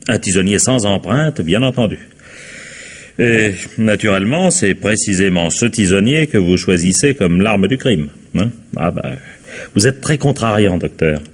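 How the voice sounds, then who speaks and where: A man's voice: fairly low pitch, lively intonation, unhurried, breathy edge. An actor playing a wry, sardonic police captain in a French radio crime drama, musing and mock-polite in his irony.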